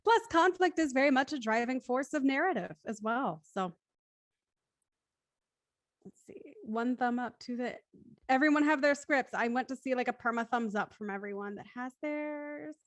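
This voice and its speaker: Woman hosting a casual stream, kinda high voice, dry sound, chatty n playful. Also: normal speed, dry recording. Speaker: host of a live script-reading show.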